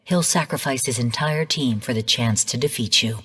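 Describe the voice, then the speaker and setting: Cool calculating ai lady for a video game, measured formal orders, big echoey tail, bit hazy. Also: extremely low pitch, a bit bright, breathy edge, exaggerated voice. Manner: formal, measured, coolly commanding. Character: calm, calculating female artificial intelligence guiding a racer. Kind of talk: scripted video game voice lines.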